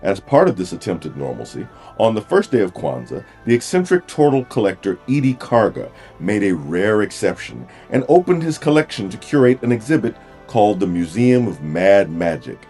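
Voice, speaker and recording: A man narrates a fantasy audio drama in a very deep, dark voice. His measured storytelling moves through lively, theatrical intonation. Faint music runs underneath.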